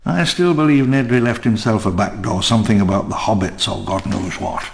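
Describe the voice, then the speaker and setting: An actor playing a wistful elderly park founder, narrating his memoirs in a measured, theatrical, ruminative way for a game audio log. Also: lowish voice, slight background noise. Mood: rueful, somber. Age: older. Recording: long reverb under clear music.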